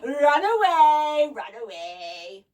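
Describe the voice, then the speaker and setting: Storybook clockwork monster, crackly and scratchy, loud, slow, drawn-out snarl, boastful and threatening. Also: female voice, sing-song, far from the mic, exaggerated voice. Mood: snarling menace. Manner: booming, snarling, drawn-out delivery. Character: huge boastful menacing clockwork giant with gramophone voice.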